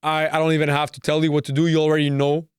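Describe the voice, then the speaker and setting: A ranting man with a smooth voice. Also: a little high, monotone, fast, dry recording, studio quality. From Canada. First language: Serbo-Croatian. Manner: profane, repetitive, emphatic ranting. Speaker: podcast host. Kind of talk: solo podcast rant.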